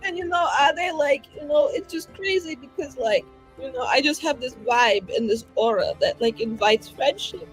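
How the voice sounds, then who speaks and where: Woman acting a shrill, melodramatic young mage. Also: moderate pace, faint music behind, natural voice, low-quality recording. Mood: dramatic, confiding. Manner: wailing, breathless run-on storytelling. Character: distraught, indignant young woman mage, boastful and dramatic. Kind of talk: in-character tabletop roleplay.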